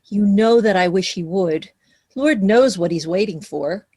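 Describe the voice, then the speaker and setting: A woman playing a fond, wry widowed mother who works in banking, speaking in a measured, conversational way in a musical theatre read-through. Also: medium pitch, a little mellow, slightly rough. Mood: warm, concerned. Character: widowed banker mother, middle-aged or older, fond and wry. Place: recorded remotely online.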